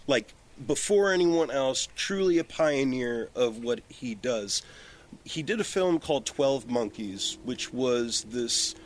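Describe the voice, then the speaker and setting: A man chats as a guest on a podcast, out on a porch. He speaks at a middle pitch, with emphatic opinions, frequent swearing and winding asides. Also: expressive, takes it slowly. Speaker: podcast guest, film director. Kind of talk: casual opinionated conversation. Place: outdoors on a porch.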